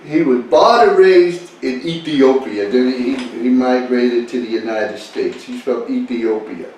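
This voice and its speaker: A man's voice: medium pitch, measured pace, a little mellow. A man giving an informal, rambling talk to listeners, repeating phrases for emphasis with an easy chuckle. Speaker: speaker leading a talk. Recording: echoey, distant mic, faint noise.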